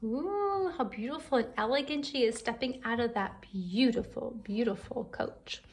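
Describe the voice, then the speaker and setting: A woman's voice: a little high, animated, normal speed, a little hiss or hum. A woman with an American accent, a teacher reading a storybook aloud to young children and explaining it expressively as she goes. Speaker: elementary school teacher.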